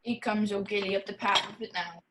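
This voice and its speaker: There is faint noise on this radio play recording. A woman plays a folksy country landlady of middling pitch who passes on gossip bluntly and matter-of-factly. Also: steady tone, fairly quick, natural voice. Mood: gossipy.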